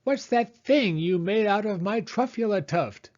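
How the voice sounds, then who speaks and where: A man voicing a small, old, mossy forest creature with a sharp, bossy, indignant voice for a children's storybook. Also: high voice, animated, a little slow, lo-fi sound, pitched above the performer's own voice. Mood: indignant, pleading.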